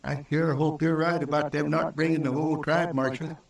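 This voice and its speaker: A man's voice: fairly high pitch, flat delivery, a little fast, gravelly. An actor playing a naive, earnest male newcomer to the frontier in an old radio drama, folksy and hesitant. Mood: worried disbelief. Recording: roomy old radio recording, distant from mic.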